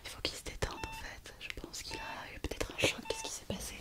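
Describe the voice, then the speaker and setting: A woman playing an attentive, questioning medical colleague in a soft-spoken French ASMR roleplay, with a hesitant manner. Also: very high voice, monotone, a little fast. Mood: worried.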